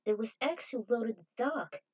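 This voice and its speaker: High-voiced woman narrating a dramatic story. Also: lively intonation, a little slow, lo-fi sound.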